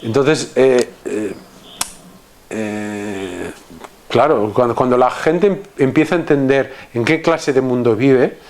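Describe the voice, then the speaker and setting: Halting Spanish man from Catalonia. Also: mid-range voice, darkish tone. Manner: halting, many restarts and fillers. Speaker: guest. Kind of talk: casual conversation.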